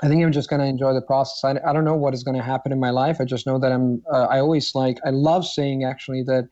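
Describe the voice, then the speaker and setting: Italian guy, mellow dark voice, thinks out loud with lots of ums, dry dull recording. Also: mid-range voice, fairly even intonation, quick pace, no room sound. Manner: thoughtful, hesitant with many fillers and restarts. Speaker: guest, polyglot. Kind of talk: casual interview talk.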